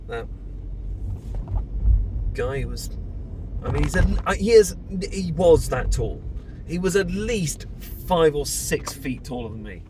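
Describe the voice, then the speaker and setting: A man's voice: mid-range voice, darkish tone, a little hiss or hum. A British man acting a heartbroken, newly separated friend in an intimate audio roleplay, stammering and self-deprecating as he shyly confesses an old love. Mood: awkward, defensive humour. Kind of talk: intimate audio roleplay, naturalistic monologue to the listener.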